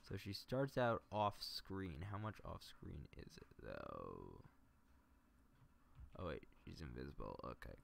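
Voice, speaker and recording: A man's voice: extremely low pitch, very animated, slow, creaky, a little hiss or hum. A man livestreaming a casual, unscripted tutorial, thinking aloud and muttering to himself as he works. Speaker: livestreamer demonstrating video editing. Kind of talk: casual unscripted tutorial commentary while working.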